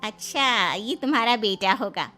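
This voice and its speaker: A woman's voice: fairly high pitch, animated, measured pace, bright, forceful tone, soft background music. A woman voicing a nosy middle-aged family friend in a cartoon story, inquisitive, teasing and disapproving. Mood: curious, surprised.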